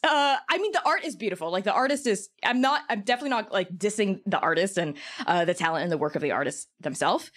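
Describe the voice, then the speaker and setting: A woman guest on a podcast, explaining her opinions in casual chat peppered with "like" and "kind of". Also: medium pitch.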